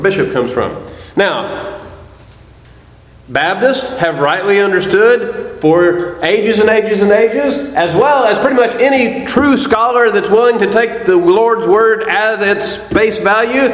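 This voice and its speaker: A man preaching a sermon to a congregation, explaining scripture and putting questions to his listeners. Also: high voice. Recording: echoey hall.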